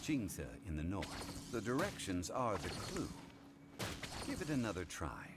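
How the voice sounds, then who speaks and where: A man voicing a figure in a game who recites a puzzle riddle in a measured way. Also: on the low side, wildly swooping pitch, heavy background noise. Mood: calm reciting. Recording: game audio over loud music. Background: loud game music.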